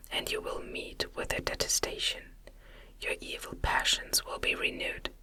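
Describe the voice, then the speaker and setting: A woman voicing a stern, reproachful male creator of a monster in a gothic storybook reading, formal and admonishing in a breathy whisper. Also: bright, forceful tone.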